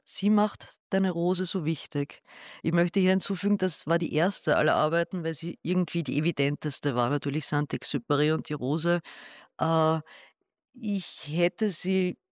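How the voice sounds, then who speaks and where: A man's voice: on the high side, measured pace, dry recording. Hesitant man, dry close sound. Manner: thoughtful, hesitant, with restarts. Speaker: artist showing his exhibition. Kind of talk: gallery interview.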